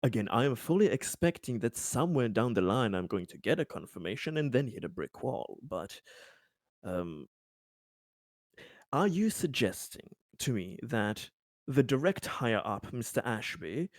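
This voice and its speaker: A man playing a cautious official in a tabletop roleplaying game, speaking formally and hesitantly as he deliberates. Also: mid-range voice, big pitch swings, no echo, clean studio sound. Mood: wary, probing. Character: cautious, deliberating male official negotiating with an agent. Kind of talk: improvised tabletop roleplay dialogue.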